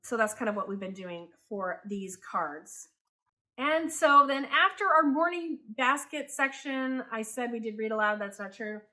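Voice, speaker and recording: A woman in her thirties who grew up in Taiwan, a homeschooling parent talking casually to the camera, chatty and quick to correct herself. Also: on the high side, lively intonation, pushed and bright. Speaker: homeschooling parent and channel host.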